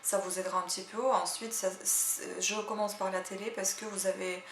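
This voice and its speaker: A woman's voice: medium pitch, little pitch movement, brisk, slight background noise. Chatty woman. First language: Russian. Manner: chatty, hesitant, full of "euh" fillers. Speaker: language-learning channel host. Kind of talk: casual spoken language tips and read-aloud practice. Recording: echoey room.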